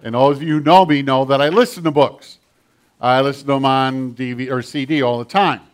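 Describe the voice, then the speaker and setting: An older man preaching a sermon with a children's message, animated and storytelling with a big voice. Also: mid-range voice, brisk.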